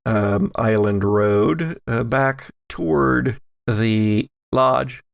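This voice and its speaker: A man running a tabletop game, improvising narration in a halting, chatty way. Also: medium pitch, vocal fry, no echo, studio quality. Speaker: game master.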